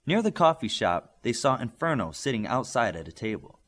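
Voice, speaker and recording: A man's voice: mid-range voice, very animated, a little fast, fairly bright tone. A man reading scripted story narration, as an audiobook reader does.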